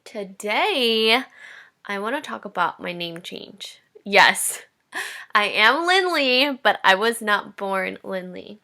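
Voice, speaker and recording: A woman's voice: mid-range voice, animated, slow, pushed and bright. A woman in her twenties hosting a personal podcast, telling her own story in a candid, reflective way. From Indonesia. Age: 20s. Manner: candid and reflective, with light laughs. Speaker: podcast host.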